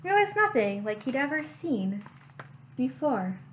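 A young woman, a librarian, reading a picture book aloud slowly and expressively at home into a webcam. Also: high-pitched, a little hiss or hum, poor audio. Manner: slow, expressive storytime reading. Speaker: children's librarian. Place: living room at home.

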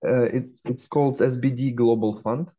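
A man in his forties with a Russian accent, answering interview questions in a measured way with frequent hesitations, heard over a webcam call. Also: medium pitch, steady tone, mellow. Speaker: guest, fintech founder. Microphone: laptop webcam. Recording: dull lo-fi call audio.

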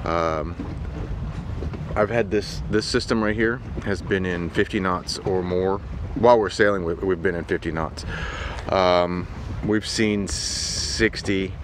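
A man in his forties talking casually and matter-of-factly to camera in halting phrases, explaining gear like a vlogger. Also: low voice. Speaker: sailing vlogger reviewing equipment. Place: outdoors on a sailboat deck at anchor. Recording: windy outdoor boat deck noise.

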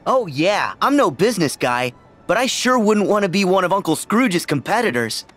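A man's voice: high voice, very animated, normal speed, a bit bright, heavily acted. A man voicing an earnest, upbeat teenage boy hero in a video game. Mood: upbeat, cautioning. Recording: music under the dialogue.

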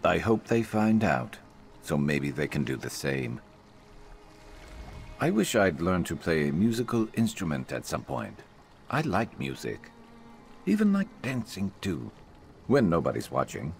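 Very deep, blunt game adventurer. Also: male voice, big pitch swings, measured pace, creaky, pauses often, slight background noise, full character voice. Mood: blunt, guarded. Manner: blunt, sardonic, dry. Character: gruff, blunt, world-weary male adventurer companion. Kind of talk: scripted fantasy game voice acting.

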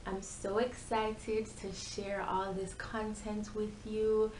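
A young Jamaican woman talks casually to camera in a chatty, animated way. Her voice sits at a middle pitch and carries a Jamaican accent. It was recorded in a bare, echoey room with a little background noise. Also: slow. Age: young adult. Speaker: YouTube vlogger. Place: small bare-walled room indoors.

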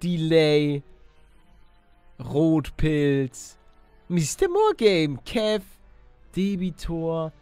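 A man doing excitable let's play commentary, exclamatory and slangy, talking to viewers and himself. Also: on the high side, drawn-out words, pauses often, smooth, no echo, soft background music. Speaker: gaming YouTuber and let's player.

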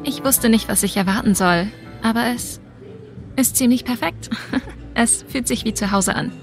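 Higher-voiced young waitress, played by a woman. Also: normal speed, faint music behind, lightly acted. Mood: friendly, hesitant. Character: young newcomer waitress, friendly, slightly hesitant. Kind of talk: video game dialogue acting.

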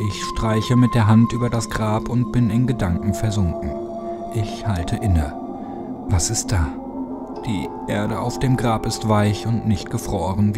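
Slow, low-voiced man narrating horror over music. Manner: theatrical, drops into hushed whispers. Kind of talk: dramatic scripted first-person horror narration. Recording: music behind the voice.

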